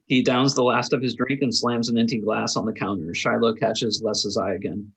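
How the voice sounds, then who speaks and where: A man's voice: fairly low pitch, steady tone, moderate pace, gravelly, a bit bright, husky, poor audio. A man narrating the stage directions of a screenplay at a table read, in a scripted style.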